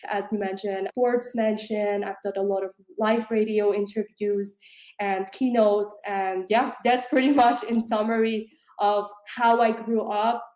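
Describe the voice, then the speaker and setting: A woman's voice: mid-range voice, steady tone, measured pace, darkish tone, reverberant, poor audio. A woman in her thirties, raised in Switzerland, telling her life story earnestly as an interview guest. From South Korea, raised in Switzerland. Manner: earnest, fluent with many "like" fillers. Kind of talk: conversational personal story.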